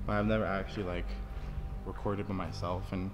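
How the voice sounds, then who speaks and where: A man's voice: deep voice, level tone, fairly quick, background noise. Nervous young man rambling in a basement. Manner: rambling, nervous, hushed, lots of "like". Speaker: vlogger. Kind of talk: casual handheld walkthrough vlog. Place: empty concrete basement, large indoor space. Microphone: handheld phone camera. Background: faint music.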